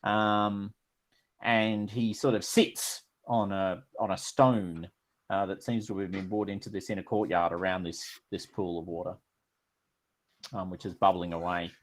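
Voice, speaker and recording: A man narrating as game master, relaxed and rambling with many fillers. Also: low-pitched, a little slow.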